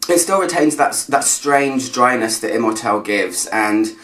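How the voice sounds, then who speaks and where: A man's voice: medium pitch, lively intonation, normal speed, fairly bright tone. Guy chatting through a perfume review, bit far from the mic in an echoey room, faint music behind. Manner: chatty, descriptive, thinking aloud. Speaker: fragrance reviewer. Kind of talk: casual vlog review.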